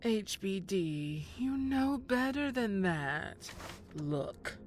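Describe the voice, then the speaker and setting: A woman's voice: medium pitch, very animated, extremely slow. An actress playing a sly villainous woman in an audio drama, condescending with feigned sweetness. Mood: insinuating. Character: sly, scheming villainous old flame, woman. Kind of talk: scripted audio drama acting.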